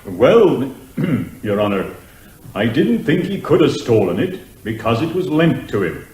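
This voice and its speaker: A man's voice: medium pitch, sing-song, unhurried, darkish tone, a little hiss or hum. A man playing a plain-spoken, deferential and slightly flustered police constable giving evidence in a courtroom comedy drama. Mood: flustered, earnest. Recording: echoing room.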